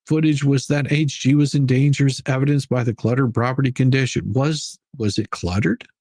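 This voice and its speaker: A man hosting a live stream, giving emphatic, argumentative commentary with a husky voice and reading passages from a document aloud. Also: medium pitch, steady tone, a little fast, a little mellow, no room sound, clean studio sound.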